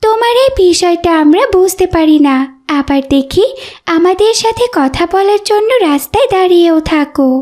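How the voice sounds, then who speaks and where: A woman voicing a polite, curious young schoolgirl in a cartoon, gently questioning her friend. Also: very high voice, lively intonation, moderate pace. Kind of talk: cartoon voice acting.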